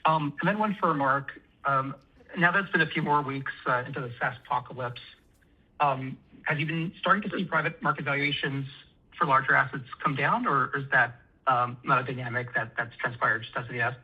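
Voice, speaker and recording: A man's voice: medium pitch, a little fast, slightly rough. A man asking a question as an analyst on an earnings call. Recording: muffled telephone line.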